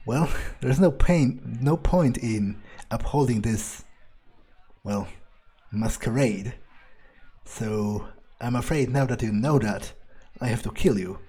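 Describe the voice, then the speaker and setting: A man roleplaying a menacing, sardonic vampire in a game playthrough, speaking slowly and deliberately. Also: mid-range voice, dark tone, husky. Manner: slow, deliberate, menacing delivery. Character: menacing, sardonic male vampire who taunts his victims. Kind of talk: in-character game playthrough commentary. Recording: game music behind the voice.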